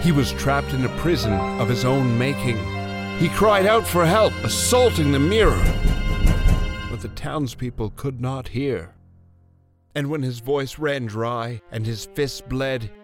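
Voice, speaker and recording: A man's voice: mid-range voice, natural voice. A man narrating a fairy tale in a solemn, theatrical storytelling manner. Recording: loud music under narration.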